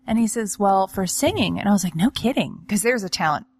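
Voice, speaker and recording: A woman's voice: medium pitch, animated, fairly quick, slightly rough. A woman hosting a casual podcast chat, telling a rambling story with frequent laughs and restarts. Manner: chatty storyteller, laughs often, trails off and restarts. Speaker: real estate agent and podcast host.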